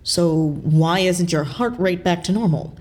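A woman's voice: fairly low pitch, measured pace, a little mellow, lightly acted. An actress playing a flippant, pragmatic female intelligence agent with a dry, breezy manner in an audio drama. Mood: curious.

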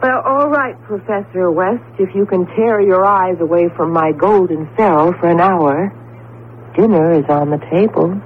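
A woman playing a professor's affectionate, brisk wife in a 1970s radio drama, with an expressive manner. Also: lowish voice, close to an everyday voice. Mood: teasing. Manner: playful scolding, expressive, affectionate. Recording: tinny old radio with background noise.

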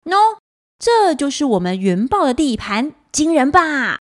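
A woman's voice: sits high, sing-song, measured pace, no echo, clean studio sound. A woman voicing a cocky young clouded leopard cub, boastful and teasing, in a children's story. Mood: proud.

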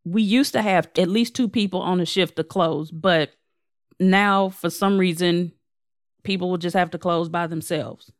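A slightly hoarse young woman talks through a personal update on her solo podcast. Her voice sits a little low and comes across smooth and clear. The sound is close and dry, with no room around it. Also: fairly even intonation, measured pace, no echo. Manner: chatty, candid, self-deprecating. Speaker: podcast host.

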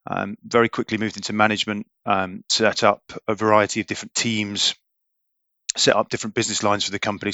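A man in his forties talks as a podcast guest, stumbling over repeated words and filling pauses with ums. His voice is bright and forceful yet low, recorded close and dry. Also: no echo. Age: 40s. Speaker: guest, recruitment business leader. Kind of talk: podcast interview.

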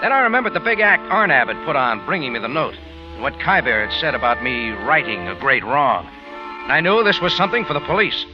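A man's voice: medium pitch, big pitch swings, fairly quick, lightly acted. An actor playing a tough, wry American private eye who narrates his case in clipped 1940s radio noir style. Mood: brooding, tense. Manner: clipped, hard-boiled delivery. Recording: dull old radio recording with loud music.

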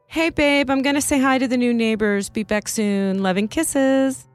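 A woman voicing an eager, chatty and inquisitive woman neighbor who asks quick, bubbly questions. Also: on the high side, faint music behind, voice pushed up, lightly acted.

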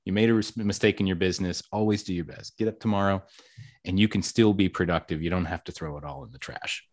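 A man's voice: sits low, steady tone, quick pace. A man hosting a casual podcast interview, talkative and upbeat, with self-deprecating jokes. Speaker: podcast host.

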